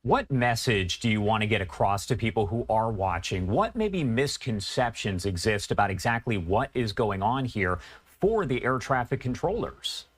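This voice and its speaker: A man anchoring a live news broadcast, asking a guest questions in a measured, conversational way. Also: on the low side, very animated.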